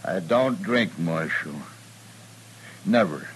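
A man's voice: low voice, lively intonation, slow pace. An American actor playing a cold, jumpy hired gunman in an old radio western, curt, cagey and defiant. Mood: edgy, defiant. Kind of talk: 1950s radio western acting. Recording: noisy old radio recording.

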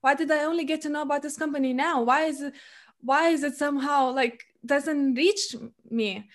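A young woman hosts a relaxed podcast interview. Her voice sits a touch high for a woman, and she keeps stopping for filler sounds as she thinks aloud. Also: normal speed. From Lithuania. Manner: hesitant, many filler sounds. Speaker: podcast host. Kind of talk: casual interview conversation.

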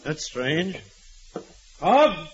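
An actor playing an earnest, idealistic prison warden with a formal, authoritative manner in an old-time radio crime drama. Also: male voice, a little high, big pitch swings, extremely slow, slightly rough, heavily acted. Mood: earnest, concerned. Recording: muffled, noisy vintage radio recording.

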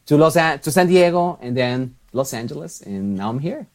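Upbeat man, chatty and quick to laugh. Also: on the high side, animated. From Mexico. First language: Spanish. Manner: chatty, upbeat, laughs often, many restarts and fillers. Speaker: TV and radio host, guest. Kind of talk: interview answers.

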